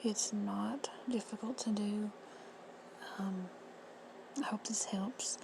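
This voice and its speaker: A woman with a hoarse, husky voice giving plain, friendly step-by-step instructions in a casual how-to. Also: medium pitch, steady tone, slow. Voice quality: whispery, husky. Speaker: reseller tutorial host. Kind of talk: casual screen-recorded how-to. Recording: noisy home recording.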